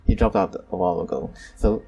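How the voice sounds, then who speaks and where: A man's voice: fast talker, dark tone, far from the mic, rough recording. A man hosting a casual online group chat, talking hesitantly with many fillers and apologies. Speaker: host.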